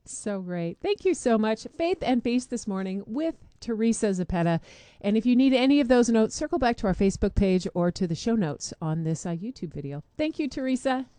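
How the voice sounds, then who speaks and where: A woman's voice: fairly high pitch, expressive, a little fast, warm tone, dry recording. A woman hosting a radio show in a casual, conversational style.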